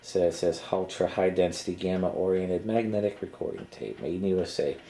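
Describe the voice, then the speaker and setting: Male hobbyist presenter, low-pitched, halting and matter-of-fact, casual narration over noticeable background noise. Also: warm tone. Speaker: vintage electronics hobbyist presenter. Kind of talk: casual unscripted show-and-tell narration.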